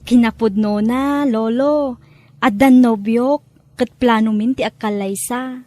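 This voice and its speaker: An actress playing an earnest, respectful young adult granddaughter in an Ilocano drama, with an Ilocano accent. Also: female voice, a little high, fairly even intonation, takes it slowly, mellow, dry recording. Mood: shy, hopeful. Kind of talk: Ilocano radio-style drama acting.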